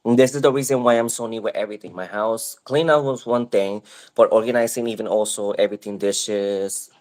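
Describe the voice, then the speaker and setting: Casual chat guest: man, Spanish accent, lowish voice, rambling and candid. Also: brisk, a little mellow. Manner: rambling, self-interrupting, candid.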